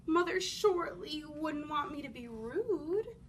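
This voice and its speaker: A woman's voice: high voice, expressive, unhurried. A woman voicing a naive, polite little girl in a storybook, earnest and eager. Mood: innocent, polite. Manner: bright, earnest, innocent.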